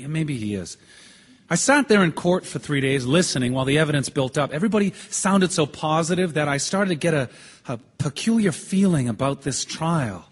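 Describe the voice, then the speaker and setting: A man playing a calm, persistent, doubting juror in an audio theatre drama, with a husky voice in a reverberant hall. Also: fairly high pitch.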